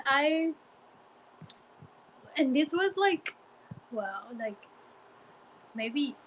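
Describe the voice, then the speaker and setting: A woman's voice: a little high, slight background noise, rough recording. A woman from Guatemala with a mild Guatemalan Spanish accent, chatting casually with viewers on a live stream, hesitant with many fillers and restarts. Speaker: Spanish teacher livestreaming.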